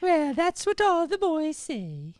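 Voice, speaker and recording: High-voiced, coy Irish girl, played by a woman. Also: huge pitch swings, slow, a little mellow, no echo, full character voice. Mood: coy, confident. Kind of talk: improvised old-time radio comedy play.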